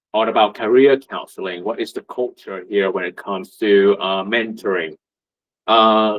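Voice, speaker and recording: A man from Vietnam, giving a conversational talk at a meetup as a union representative, full of rhetorical questions and fillers. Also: fairly low pitch. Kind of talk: presentation at a meetup. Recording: muffled lo-fi recording.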